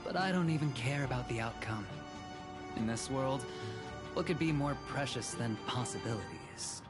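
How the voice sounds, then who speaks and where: An actor playing a carefree, daring gambler and drinker in a game, speaking with wry, unhurried drama. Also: male voice, mid-range voice, animated, lightly acted. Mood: defiant, daring. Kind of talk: dramatic scripted game dialogue. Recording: loud music over voice.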